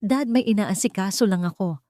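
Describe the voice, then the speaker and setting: A man's voice: high voice, brisk, mellow, no room sound, clean studio sound, pitched above the performer's own voice, lightly acted. A woman voicing a timid, guilt-ridden young male heir with a pleading, confessional manner in a romance story. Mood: nervous.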